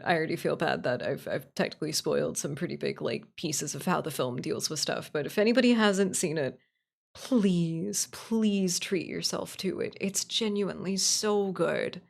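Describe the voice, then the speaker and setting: A woman's voice: on the low side, vocal fry. A woman chatting casually on a livestream, answering viewers in a gushing way and repeating phrases for emphasis. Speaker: livestream host.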